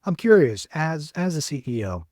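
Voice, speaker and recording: Guy hosting a podcast, curious and chatty, warm mellow voice, dry mic. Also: fairly high pitch, big pitch swings, moderate pace, no echo. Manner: curious, conversational questioning with restarts. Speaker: podcast host. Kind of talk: interviewer questions.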